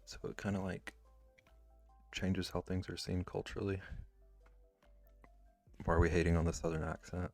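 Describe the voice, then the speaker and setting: A man's voice: deep voice, little pitch movement, normal speed, vocal fry, long pauses, faint music behind. A man streaming live while he draws, chatting casually with viewers and rambling with lots of "like" fillers. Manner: rambling, with many "like" fillers and restarts. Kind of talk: casual chat, reading and answering chat.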